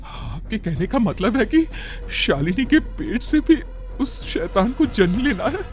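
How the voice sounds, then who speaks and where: A man's voice: sits high, big pitch swings, unhurried, slight background noise, pitched above the performer's own voice. A man voicing a frightened but determined village aunt with an urgent, pleading manner in a narrated horror story. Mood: horrified. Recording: background music under the voice.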